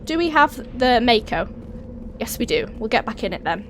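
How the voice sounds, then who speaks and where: Raspy woman with a cold, gaming. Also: a little high, moderate pace. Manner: chatty, thinking aloud while playing. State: hoarse with a cold. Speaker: let's play host. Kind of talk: casual gameplay commentary. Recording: voice over steady game engine noise.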